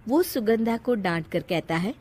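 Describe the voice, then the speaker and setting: A woman narrating a scripted moral story in a measured storytelling delivery. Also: medium pitch, expressive, warm tone.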